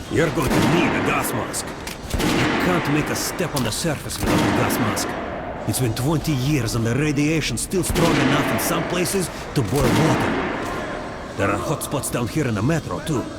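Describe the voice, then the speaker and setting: An actor playing a friendly, chatty military quartermaster in a video game, genial and instructive. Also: male voice, fairly high pitch, close to an everyday voice. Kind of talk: video game tutorial dialogue. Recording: loud music behind the voice.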